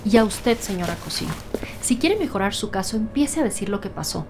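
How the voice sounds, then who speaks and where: A woman playing a firm, authoritative special agent who questions formally and deliberately in a crime audio drama. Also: medium pitch, very animated, music in the background. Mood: commanding.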